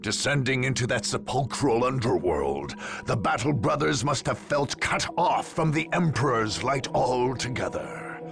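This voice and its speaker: An actor playing a solemn chronicler, narrating a war's history for a video game in a grave, measured way. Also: male voice, medium pitch, sing-song, creaky. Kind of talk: scripted dramatic game narration. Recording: music behind.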